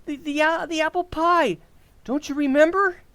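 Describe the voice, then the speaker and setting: A man acting a bumbling, forgetful, excitable husband and father in an old-time radio comedy, with a flustered, stammering delivery. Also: very high-pitched, moderate pace, no echo, heavily acted. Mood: excited, flustered. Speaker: amateur actor.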